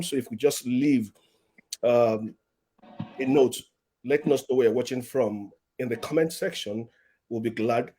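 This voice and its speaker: Man hosting a live-stream interview. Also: medium pitch. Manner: warm-up chatter, repeats words, reads audience comments. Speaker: host.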